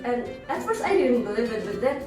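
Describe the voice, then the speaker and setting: A young woman with a slightly high voice explains her points thoughtfully, with a playful streak. Her voice sits back from the mic in a very echoey room, with music behind it. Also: big pitch swings, brisk, far from the mic. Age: young adult. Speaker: student podcast co-host. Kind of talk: casual discussion.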